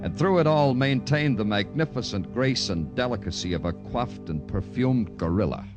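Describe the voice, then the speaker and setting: An actor playing a weary American police detective, deadpan and wry, in hard-boiled old radio noir style. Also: male voice, mid-range voice, moderate pace, lo-fi sound, loud music behind the voice, close to an everyday voice. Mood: wry narration. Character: weary, sardonic male New York police detective, hard-boiled narrator. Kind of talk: 1950s radio noir acting and narration.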